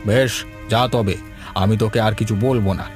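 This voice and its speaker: A man's voice: on the low side, fairly even intonation, moderate pace, faint music behind, natural voice. A man voicing a village husband and father in a cartoon, easygoing but able to be stern. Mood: stern scolding. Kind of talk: cartoon voice acting.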